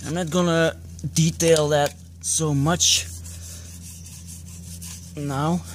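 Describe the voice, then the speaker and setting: Halting Belgian man on a noisy phone video. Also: a little high, fairly even intonation. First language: not English. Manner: halting, with many fillers and restarts. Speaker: DIY video maker. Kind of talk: casual hands-on demonstration. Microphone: phone camera.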